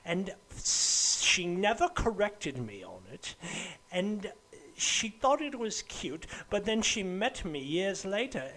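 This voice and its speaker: Male podcast host, higher-pitched voice, affected English accent, very slow pompous delivery full of halting repeats. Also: fairly bright tone, rough recording. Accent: affected, unconvincing English. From United States. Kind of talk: comedic podcast monologue.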